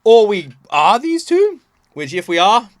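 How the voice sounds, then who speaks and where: Expressive man with lively, swooping pitch. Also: a little slow, no echo, studio quality. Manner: expressive, rambling, emphatic repetition. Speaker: reaction video host. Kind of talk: casual reaction commentary. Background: game soundtrack.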